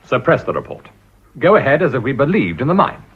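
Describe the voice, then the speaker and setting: A muffled radio drama recording of a ruthless English schemer. The voice is lowish and husky, with plenty of rise and fall. He speaks bluntly, with sardonic command. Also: very animated, fast talker, exaggerated voice. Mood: cynical, decisive. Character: ruthless, cynical rogue of a businessman. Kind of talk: broadcast radio drama acting.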